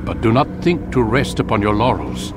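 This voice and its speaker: A man's voice: fairly low pitch, big pitch swings. A man playing a grave, commanding old master of assassins in a video game, speaking formally and solemnly. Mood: stern approval. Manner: measured, solemn, authoritative. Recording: loud music under game dialogue.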